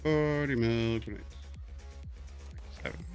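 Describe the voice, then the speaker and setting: A man's voice: medium pitch, slow pace, darkish tone, soft background music. A middle-aged man with a New York accent, chatting casually as he streams his gameplay, often stumbling and repeating his words.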